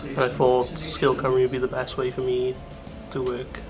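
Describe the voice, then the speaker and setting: A man's voice: medium pitch, completely flat delivery, moderate pace. Young American man, plain and level, music bed. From United States. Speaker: film apprentice. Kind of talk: interview answers. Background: background music.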